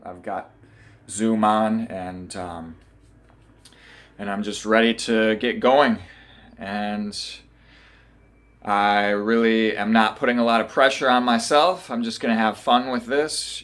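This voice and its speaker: Earnest man chatting to camera. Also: lowish voice. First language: English. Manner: relaxed, earnest, thinking aloud with pauses. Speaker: vlogger. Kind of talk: casual vlog talk to camera. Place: his room at home.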